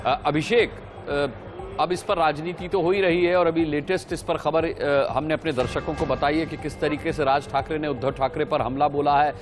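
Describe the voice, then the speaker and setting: A man's voice: on the high side, fairly even intonation, normal speed, music in the background. A man anchoring live television news and introducing field reports.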